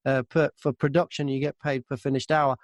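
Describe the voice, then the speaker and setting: A man's voice: medium pitch, level tone, brisk, no room sound. A man who works as an audiobook narrator, being interviewed and telling work anecdotes casually, acting out remembered exchanges as he goes.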